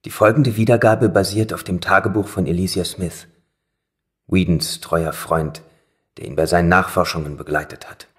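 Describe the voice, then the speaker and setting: A man's voice: sits low, steady tone, moderate pace, a bit bright. An actor playing a studious, preoccupied young man absorbed in research in an audio drama. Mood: grave.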